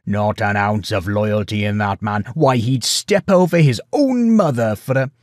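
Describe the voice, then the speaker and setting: A big gruff swineherd from a storybook, acted by a male narrator, blunt, hearty and fiercely loyal. He speaks with rustic, emphatic phrasing, and the sound is dry and close. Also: on the low side, a little slow, dry recording, lightly acted. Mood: sour, scornful.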